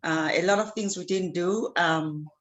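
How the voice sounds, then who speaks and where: A woman from Zimbabwe, an interview guest who answers earnestly and often pauses on fillers and restarts. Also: lowish voice. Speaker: guest, clean water advocate.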